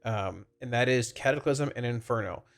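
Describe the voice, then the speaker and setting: A man with a congested, slightly raspy voice from a cold, giving casual, rambling, explanatory commentary on a video game to his viewers. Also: mid-range voice, moderate pace. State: congested, under the weather with a cold. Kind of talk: informal gaming feedback commentary to viewers. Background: background music.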